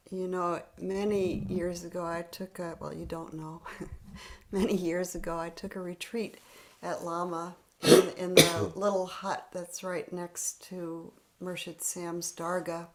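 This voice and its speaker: Woman meditation leader, soft half-whispered delivery, low gravelly voice, video call audio. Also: little pitch movement. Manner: gentle, unhurried, laughs lightly. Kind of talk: guided spiritual practice.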